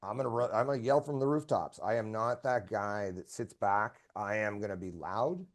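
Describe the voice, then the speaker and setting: A man who is a guest on a video-call interview, hoarse today, talking passionately and often repeating his words. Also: on the low side, moderate pace. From Canada. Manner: passionate, energetic, repeats himself. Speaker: guest, association president. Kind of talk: casual interview chat.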